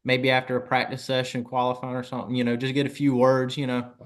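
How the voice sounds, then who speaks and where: A man's voice: medium pitch, monotone, quick pace. A man of twenty chatting informally as an interviewer and co-host, often saying "you know". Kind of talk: casual interview chat.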